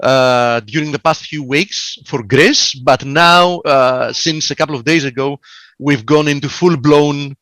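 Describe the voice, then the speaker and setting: Co-host guy on a webinar, a bit high, lots of uhs, dry close sound. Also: a little slow, a bit bright, no echo. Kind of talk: casual webinar chat.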